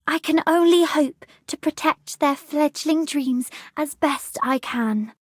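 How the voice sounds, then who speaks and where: An earnest, gentle young woman for a video game. Her voice is high and soft, with slow, careful phrasing. It is recorded dry and close. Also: dry recording. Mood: solemn, wistful. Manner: hesitant, soft, deliberate. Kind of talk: video game character voice lines.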